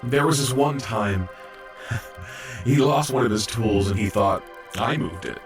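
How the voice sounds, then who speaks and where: A man's voice: fairly low pitch, animated, slow pace, recorded from a distance, soft background music. A man playing a shy, awkward young robot medic in an audio drama, hesitant, stammering and apologetic. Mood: reflective, hesitant. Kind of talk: fan audio drama acting.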